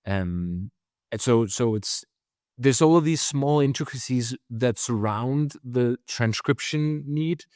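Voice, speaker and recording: A man's voice: fairly low pitch, a little mellow, no room sound. Man, Danish accent, guest interview, trips over words, dry close sound. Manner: hesitant, many restarts. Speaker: guest, company founder. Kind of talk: conversational interview.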